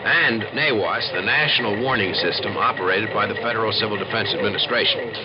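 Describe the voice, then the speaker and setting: A man's voice: on the low side, fairly quick, very noisy, natural voice. An American man playing an earnest, assured civil defense expert who explains and narrates in a 1950s radio documentary. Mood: grave, dramatic. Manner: explanatory, folksy, emphatic. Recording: dull old radio recording with music.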